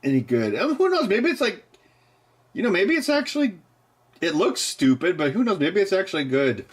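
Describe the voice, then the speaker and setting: A man's voice: high-pitched, big pitch swings, fairly quick, slightly rough. A man hosting a live stream, rambling casually and joking, laughing often.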